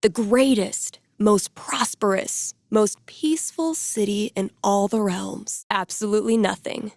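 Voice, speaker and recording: A young woman with a wry, sardonic streak, a character from a video game. She talks in loose slang like an easygoing music geek, at a middling pitch. The sound is very dry, with no room around the voice. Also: unhurried, gravelly, darkish tone, dry recording. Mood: theatrical narrating. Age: young adult.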